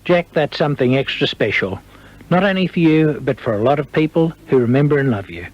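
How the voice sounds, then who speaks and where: Male radio interviewer, animated and raspy, scripted questions, recorded on muffled archival tape. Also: a little high, brisk.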